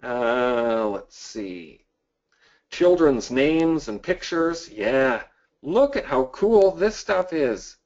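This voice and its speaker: A man's voice: a little high, sing-song, extremely slow, vocal fry, a little mellow. A man from Canada hosting a live sales webinar, answering chat questions in a casual, upbeat way with many fillers. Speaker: webinar host. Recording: dull lo-fi audio.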